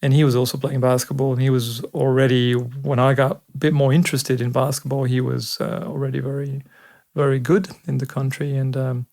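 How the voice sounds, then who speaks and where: A man in his forties from Switzerland, a sports doctor chatting as a guest at home in a relaxed, thoughtful way with many pauses and fillers. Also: mid-range voice, vocal fry. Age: 40s. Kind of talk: casual conversation. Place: home, indoors.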